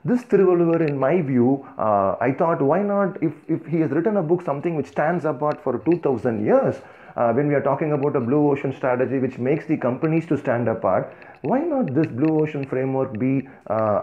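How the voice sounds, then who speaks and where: A man's voice: mid-range voice, lively intonation, brisk. A man from Tamil Nadu with a Tamil-flavored Indian accent, giving a free-flowing talk over slides, thinking aloud with many fillers and restarts. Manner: thinking aloud with frequent "uh" and "you know", restarts and emphatic lists. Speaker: organization founder giving a talk. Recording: tinny phone-like audio.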